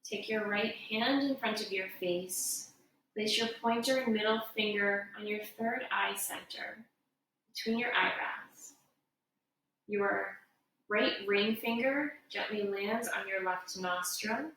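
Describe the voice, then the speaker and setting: A woman giving guided meditation instruction as a yoga teacher, in a gentle, unhurried, soothing way. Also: mid-range voice. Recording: echoey room, distant mic, faint noise.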